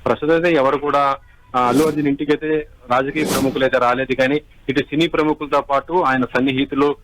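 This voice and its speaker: A man giving a live unscripted news report, halting and repeating himself as he goes. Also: mid-range voice, fairly even intonation, fairly bright tone, slight background noise, poor audio. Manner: halting, repetitive, restarts words.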